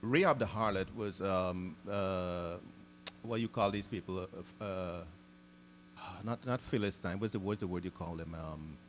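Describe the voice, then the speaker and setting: A man hosts a casual interview in a fairly low voice. He talks thoughtfully and hesitantly, often stopping to hunt for the right word. A faint electrical hum sits under his voice. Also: unhurried. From Guyana. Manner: thoughtful, hesitant, searches for words. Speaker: podcast host.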